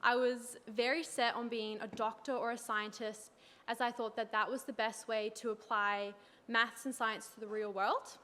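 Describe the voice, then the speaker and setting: Young woman student presenter, a touch high, conversational. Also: flat delivery, normal speed, bright, forceful tone. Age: young adult. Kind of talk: presenting to an audience.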